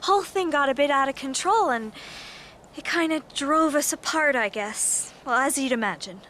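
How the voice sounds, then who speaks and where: An actress playing a guarded, grieving American daughter in a naturalistic radio drama. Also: female voice, high voice, lively intonation, normal speed, a bit bright, a little hiss or hum. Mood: subdued, reflective. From United States.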